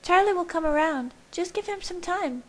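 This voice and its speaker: A woman reading an audiobook, voicing a bubbly, bossy young vampire sister in an excitable, brisk, emphatic way. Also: very high-pitched, voice pushed up. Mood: firm, reassuring. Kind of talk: audiobook character voice.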